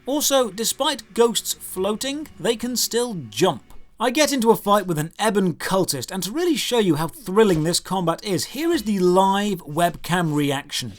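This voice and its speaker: A man's voice: high-pitched, lively intonation, normal speed, few pauses. A man delivering a scripted comedic video game review in a sarcastic, mock-incredulous manner.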